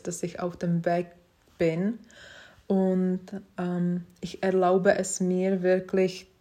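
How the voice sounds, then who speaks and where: Slow, hesitant woman podcaster, non-native. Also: on the low side. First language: not German. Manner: hesitant, many fillers and restarts; self-reflective, encouraging. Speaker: podcast host. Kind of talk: casual personal monologue.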